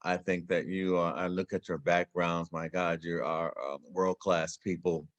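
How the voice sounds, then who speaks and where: A man with a very low voice speaks as a guest in a live online talk. He has a wry, self-deprecating way of telling things. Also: steady tone, measured pace.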